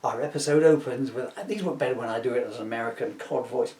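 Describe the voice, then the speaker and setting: A man's voice: mid-range voice, fast talker, darkish tone. A middle-aged man reading a comic story aloud with chatty asides, jokey and easily tickled, stopping to interrupt himself. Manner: giggly, jokey, self-interrupting. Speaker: author reading own comedy book aloud. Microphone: camera at some distance. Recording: roomy echo, distant microphone.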